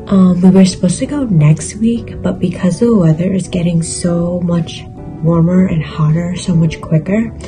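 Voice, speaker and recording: Young woman vlogger, low-energy and chatty, phone mic in a small room, music bed. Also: unhurried, rough recording. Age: young adult. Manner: relaxed, chatty, filler-heavy with "like" and "kinda". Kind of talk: casual vlog chat to camera. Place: bedroom, indoors, small. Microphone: phone camera at arm's length.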